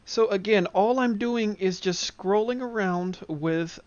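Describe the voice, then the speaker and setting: A man giving an informal software demonstration, explaining things step by step. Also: high voice, a little slow, dull audio. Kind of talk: casual software tutorial. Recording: clear background noise of simulator ambience. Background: flight simulator background sounds.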